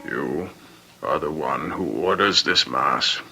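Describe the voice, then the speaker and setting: An actor playing a sinister renegade priest in a radio drama, solemn and halting, intoning his words. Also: a bass voice, animated, gravelly, sharp tone, soft background music, heavily acted. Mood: solemn, grave. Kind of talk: old-time radio drama acting.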